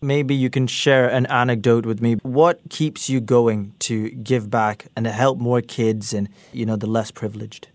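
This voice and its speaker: A male radio host speaks into a studio microphone in a completely dry, close sound. He poses his questions in a smooth, measured way, at a middle pitch. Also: steady tone, darkish tone, no room sound. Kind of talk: radio interview hosting.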